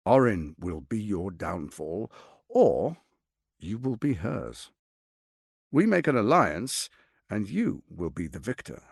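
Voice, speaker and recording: An actor playing a suave, ambitious, scheming lord in a video game, speaking in a theatrical, articulate and condescending way. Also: male voice, fairly low pitch, big pitch swings, slow, gravelly, slightly rough, no room sound, clean studio sound, heavily acted. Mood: menacing, then persuasive. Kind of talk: dramatic video game voice acting.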